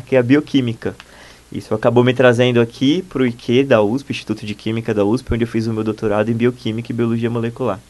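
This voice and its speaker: A man's voice: medium pitch, darkish tone. A man in his thirties from Bahia, Brazil, a postdoctoral researcher answering interview questions in a fluent, explanatory and enthusiastic way.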